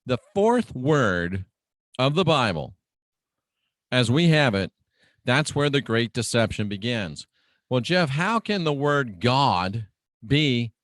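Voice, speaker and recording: A man preaches in a radio monologue at an unhurried pace, in a medium-pitched voice with a dry sound. He is emphatic and theatrical like a showman, leaning on repeated phrases, weighty pauses and rhetorical questions aimed at his listeners. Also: fairly bright tone, no echo. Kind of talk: dramatic sermon-like radio monologue.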